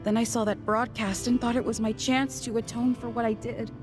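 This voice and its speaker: An actress playing a guilt-ridden, guarded adult researcher in an anime game, sincere and hesitant. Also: female voice, on the high side, little pitch movement, a little fast, music in the background, natural voice. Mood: remorseful.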